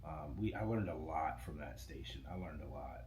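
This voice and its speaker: A man's voice: extremely low pitch, normal speed. A middle-aged man, a guest on a podcast, talking casually and rambling with frequent restarts about running a radio station. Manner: relaxed, rambling, restarts often. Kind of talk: casual interview chat. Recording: echoey room with faint ambient noise.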